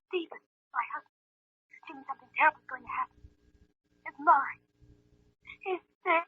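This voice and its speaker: A very high, breathless female voice comes through a thin telephone line. It is a frightened wife in an old radio melodrama. She pleads urgently and anxiously. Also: normal speed. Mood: terrified, pleading. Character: frightened, pleading wife and former concert pianist.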